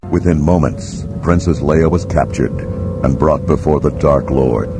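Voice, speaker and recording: A man's voice: a bass voice, a little slow, over music. A man narrating a dramatic scripted storybook as its narrator.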